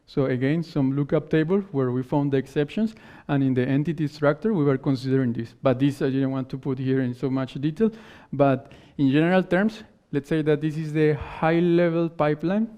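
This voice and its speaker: A man whose first language is not English, giving a technical conference talk and answering questions in halting phrases that he often restarts. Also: on the high side, steady tone, mellow, clear, resonant tone. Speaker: conference speaker. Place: conference hall stage. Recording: dull lo-fi conference recording.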